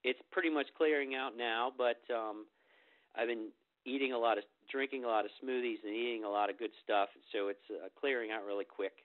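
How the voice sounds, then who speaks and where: Man getting over a cold, meditation host, rambling, mid-pitched, phone-line audio. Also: fairly even intonation, fairly quick. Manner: rambling, many fillers and restarts. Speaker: meditation teacher hosting a group phone call. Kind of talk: informal spiritual talk before a meditation. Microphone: telephone.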